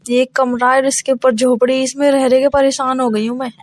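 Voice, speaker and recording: A very high, closely recorded female voice with little room sound. A woman plays a young wife who is resentful and full of reproach, nagging in everyday conversational Hindi-film-free domestic drama. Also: little pitch movement, brisk, no echo, lightly acted. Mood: bitter complaining. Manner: complaining, reproachful. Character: resentful young eloped wife, nagging her jobless husband. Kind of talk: improvised-sounding domestic dialogue in an audio story.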